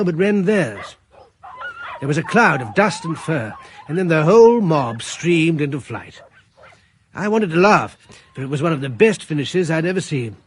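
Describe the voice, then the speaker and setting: A man narrating in the first person and playing the lead in a radio drama, with a measured, reflective delivery. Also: on the high side, a little hiss or hum.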